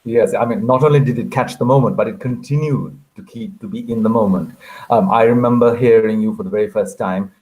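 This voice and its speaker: A man's voice: fairly low pitch, brisk, darkish tone, poor audio. A man hosting an online author conversation, chatty and genteel in his phrasing. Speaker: moderator of a literary event.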